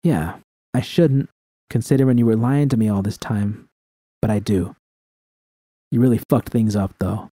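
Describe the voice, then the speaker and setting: A man's voice: on the low side, normal speed, long pauses, warm tone, no echo, clean studio sound, close to an everyday voice. A man voicing a tough, blunt, exasperated woman in an audiobook drama. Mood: resigned, reproachful. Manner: blunt, profane, confrontational. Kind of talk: dramatic audiobook character acting.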